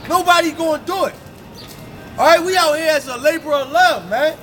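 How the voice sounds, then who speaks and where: A man street preaching in a forceful, confrontational way, ending phrases with "man", outdoors on a city street. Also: extremely high pitch, brisk, faint music behind. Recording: street crowd noise.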